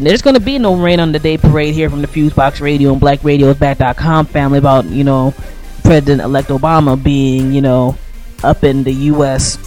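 Higher-pitched American man, slangy radio talk. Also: a little mellow. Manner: colloquial, slangy, emphatic. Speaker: radio show co-host. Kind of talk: casual political commentary.

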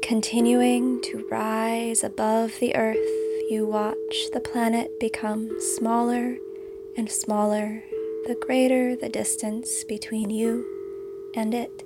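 Female meditation guide, calm and soothing, very unhurried delivery, slightly high voice. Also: heavy background noise. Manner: calm, soothing, deliberate phrasing. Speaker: meditation guide and podcast host. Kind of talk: guided meditation, scripted narration. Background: music behind the voice.

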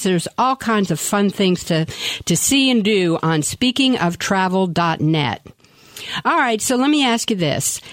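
A woman with a mid-pitched voice hosts a radio show. She is chatty, folksy and enthusiastic, and the sound is close and dry. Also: dry recording. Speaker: radio travel show host. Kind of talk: upbeat radio hosting and conversational interviewing.

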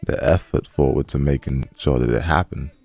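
A man's voice: very deep voice, fairly quick, gravelly, a little mellow. A man co-hosting a podcast in casual, slangy talk, repeating phrases for emphasis, with music behind him. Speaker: podcast co-host.

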